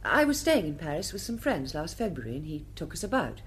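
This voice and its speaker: A woman's voice: sits low, very animated, fairly quick, a little hiss or hum. An actress playing a guarded, aristocratic young lady with an upper-class British accent, giving clipped, reserved answers in a radio drama. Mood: guarded, cool. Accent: upper-class British English.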